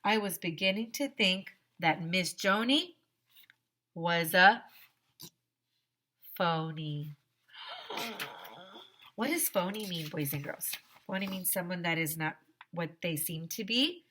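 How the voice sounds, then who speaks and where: A woman teacher reading a children's story aloud expressively, with dramatic pauses and direct asides to young listeners. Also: lowish voice, expressive, pushed and bright.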